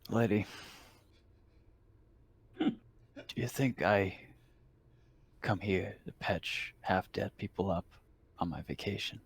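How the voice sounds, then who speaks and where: A man playing a gruff, world-weary military medic in a tabletop role-playing game, talking in a dry, laconic way. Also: fairly low pitch, takes it slowly, pauses often, heavily acted. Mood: curt, unimpressed. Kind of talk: improvised tabletop role-play.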